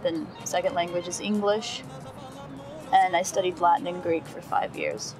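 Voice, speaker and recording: A woman's voice: fairly low pitch, fairly even intonation, normal speed, over music. Young woman. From Italy. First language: Italian. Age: young adult. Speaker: first-year student. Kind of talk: documentary interview.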